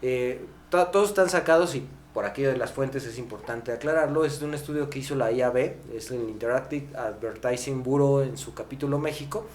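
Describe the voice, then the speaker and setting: A Mexican man hosting a podcast, explaining conversationally and haltingly, often tagging his phrases with a questioning word. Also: medium pitch, a little mellow. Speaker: podcast host. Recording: echoey room, clear background noise, hum.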